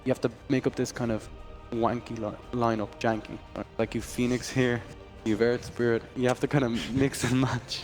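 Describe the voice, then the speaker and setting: A man's voice: medium pitch, flat delivery, moderate pace, few pauses, a little mellow, soft background music. A man with an Irish accent commentating an esports draft in a chatty, joking way, sometimes tripping over his words. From Ireland. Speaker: esports caster.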